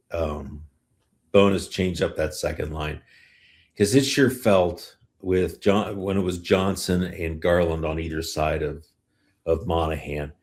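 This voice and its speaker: Low-voiced North American sports podcast host. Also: male voice, darkish tone. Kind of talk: casual sports discussion.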